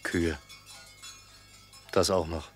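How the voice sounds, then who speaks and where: A man's voice: deep voice, steady tone, extremely slow, music in the background. An actor playing a breezy, self-satisfied male travelling salesman in a radio drama, speaking in casual, clipped phrases. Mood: idle musing. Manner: casual, clipped musing to himself. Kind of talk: German radio drama acting.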